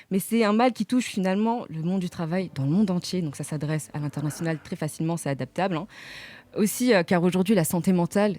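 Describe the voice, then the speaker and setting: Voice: mid-range voice, mellow, no room sound. A radio columnist presenting manga in a casual chat, with playful jokes to the listeners. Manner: playful, jokey asides to the audience. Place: radio studio.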